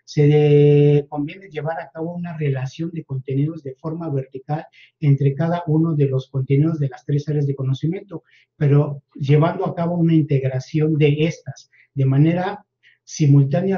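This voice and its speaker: A man giving a formal academic presentation in wordy sentences, halting in places, over an online call. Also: a little high. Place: virtual conference video call. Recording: dull, band-limited online call audio.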